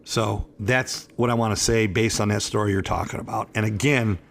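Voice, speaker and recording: A hoarse man in his fifties, an attorney hosting a talk show, chatting in a rambling, emphatic and self-assured way. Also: fairly low pitch, fairly even intonation, normal speed, fairly bright tone, a little hiss or hum. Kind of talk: casual radio talk show chat.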